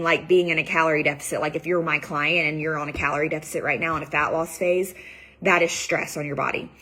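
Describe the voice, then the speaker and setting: A woman coach speaks in a lowish, husky voice, worn down by a cold that is taking her voice. She talks loosely and chattily to her clients, with plenty of ums and likes. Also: fairly bright tone. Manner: chatty, loose, many fillers and "like"s. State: has a cold, losing her voice. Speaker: fitness and nutrition coach. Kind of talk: casual live training talk.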